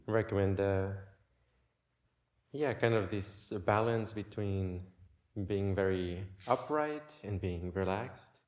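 A man leading a guided meditation in a gentle, unhurried way, leaving long pauses between short phrases. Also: lowish voice. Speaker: meditation teacher.

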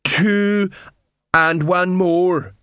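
A man voicing a slow-witted, earnest troll watchman who talks in halting, simple phrases in a fantasy story. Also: sits high, fairly even intonation, sharp tone, smooth, dry recording, studio quality, voice pushed up, exaggerated voice. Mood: straining. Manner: halting, labored, simple speech.

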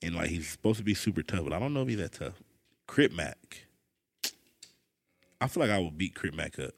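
A young man from Southern California, hosting a podcast in casual chat, rambling and animated with quick asides. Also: deep voice, creaky, dry recording, studio quality. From Ontario, California. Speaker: podcast host.

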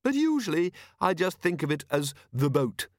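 A man acts out a gentle storybook inventor with a voice pitched well up high and very lively. He is earnest and diplomatic, rambling mildly and apologetically as his absent mind wanders. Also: moderate pace, no echo, studio quality. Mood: mild, matter-of-fact.

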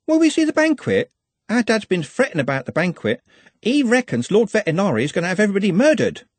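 A woman's voice: mid-range voice, sing-song, fast talker, darkish tone, slightly rough, no echo, pitched above the performer's own voice. A man voicing a chatty, eager young working-class woman who rambles in long run-on sentences.

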